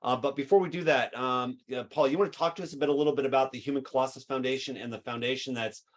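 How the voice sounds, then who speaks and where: A man's voice: medium pitch, steady tone, quick pace. A man hosting a webinar, upbeat and enthusiastic, with frequent fillers. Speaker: webinar host.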